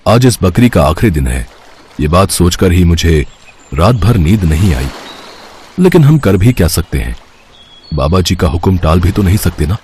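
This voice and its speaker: A man's voice: low voice, sing-song, normal speed, darkish tone, a little hiss or hum. A man playing an obedient, earnest disciple of a holy man, with a solemn manner.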